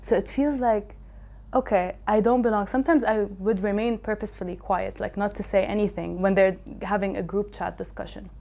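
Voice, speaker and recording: A woman's voice: medium pitch, fairly even intonation, fairly quick, vocal fry, warm tone. A young woman from a Palestinian family raised in Lebanon, a podcast guest, talking casually and earnestly, with many fillers and restarts. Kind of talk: casual conversation.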